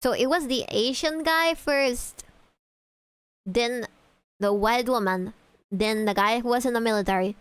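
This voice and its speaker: A woman's voice: on the high side, a little slow, long pauses, clean tone, dry recording. A woman streamer chatting casually, profane and easily sidetracked, reacting to videos.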